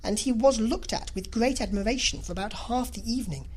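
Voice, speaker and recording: A woman's voice: fairly high pitch, brisk, darkish tone, breathy edge. A woman reading a classic novel as an audiobook narrator, in a scripted literary style.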